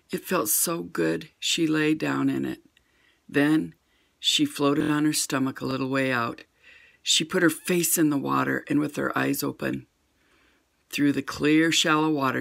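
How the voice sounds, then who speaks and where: Older female storyteller, bright gravelly tone, expressive picture-book read-aloud. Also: low-pitched. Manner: gentle expressive storybook reading.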